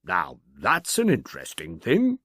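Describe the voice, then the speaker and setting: A tentative, easily cowed policeman , acted by a male audiobook reader offers thoughts cautiously yet eagerly. The pitch moves about freely, and the sound has very little room in it. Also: huge pitch swings, a little slow, a bit bright, no echo, exaggerated voice. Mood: hurried, musing. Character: hesitant, submissive policeman, eager but easily abashed.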